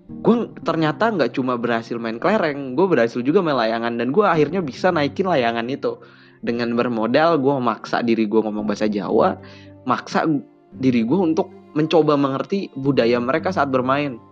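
A young man from Bandung chats casually on a podcast episode, slangy and relaxed, now and then jumping higher to play out his childhood conversations. His voice sits at a middle pitch, and the audio is a bit dull. Soft music plays faintly underneath. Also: normal speed. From Bandung, West Java, Indonesia. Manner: chatty Jakarta slang, acts out childhood dialogue in raised voices. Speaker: podcast host. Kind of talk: casual personal storytelling.

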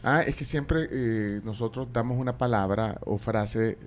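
A man's voice: mid-range voice, measured pace. A man hosting a live studio talk show, joking and reading out viewer messages. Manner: jokey, reads viewer messages. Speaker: host.

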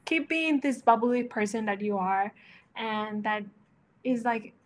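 Woman guest, slightly high pitch, warm and earnest, pauses to find words. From Latin America. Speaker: podcast guest, interior designer. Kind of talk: casual chat, encouraging advice.

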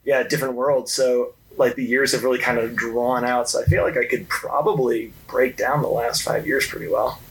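A man's voice: mid-range voice, fairly even intonation, moderate pace, few pauses, fairly bright tone, rough recording. Casual man over audible background noise. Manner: informal, anecdotal, heavy use of like. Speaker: podcast guest, company employee. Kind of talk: casual chat.

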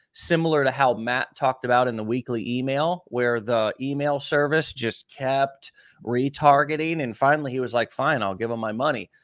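A man's voice: medium pitch, a bit bright, no room sound, clean studio sound. A man hosting a coaching interview, encouraging and asking long, rambling questions. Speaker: host.

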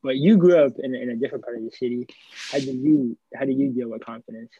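A man's voice: mid-range voice, fast, mellow, breathy edge, muffled recording. A man co-hosting a podcast in casual chat, talking loosely with many "like" and "you know" fillers. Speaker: podcast co-host.

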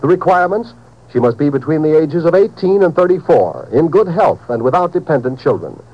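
An American man working as a radio announcer, reading a script in a formal, earnest 1950s broadcast style with measured emphasis. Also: a little high, very animated. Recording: dull, noisy vintage radio recording.

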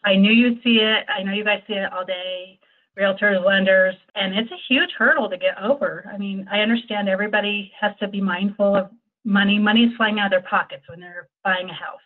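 A woman's voice: medium pitch, fairly even intonation, brisk. An American woman giving conversational expert explanations as an insurance agent, in a chatty, agreeable, informal way. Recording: thin, phone-like call audio.

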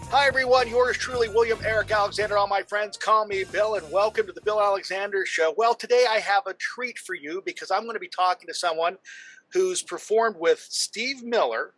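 A man's voice: sits high, a little fast, fairly bright tone, faint music behind, low-quality recording. A male radio host interviewing a guest in an upbeat, chatty way, laughing easily.

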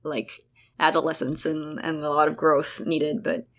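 A woman's voice: low voice, flat delivery, unhurried, a bit bright. A young woman from South Africa, guest host of a casual chat, talking in a rambling way with many fillers and restarts. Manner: chatty, rambling, full of "like" and restarts. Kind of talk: casual conversation between sisters.

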